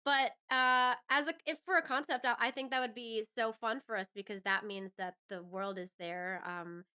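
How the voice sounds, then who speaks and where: Woman, interview guest, bright forward tone, smooth and clear, casual, enthusiastic. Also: a little high, steady tone, normal speed. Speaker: interview guest, voice actress and performer.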